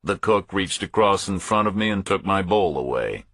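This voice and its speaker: A man reads a first-person thriller as an audiobook. His voice sits fairly low, with a forceful edge and a raspy grain. The sound is dry and close, with the measured phrasing of scripted narration. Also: expressive, no room sound.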